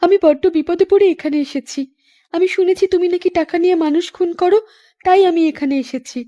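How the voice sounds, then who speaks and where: A woman voices a long-suffering young woman from a cartoon melodrama. Her voice is extremely high and girlish. She speaks plaintively and earnestly, as someone betrayed in love. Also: fairly quick, mellow, muffled recording. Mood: grave, resolved. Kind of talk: animated melodrama acting.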